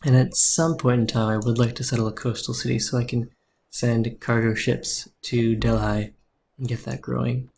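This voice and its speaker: A man with a soothing voice streaming a strategy game live, calmly explaining his moves and answering chat. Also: mid-range voice, moderate pace, creaky, slight background noise.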